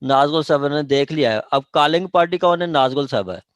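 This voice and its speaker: A man with a Pakistani accent explaining law as a lecturer, haltingly repeating and rephrasing his points and checking that students follow. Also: on the high side, dry recording.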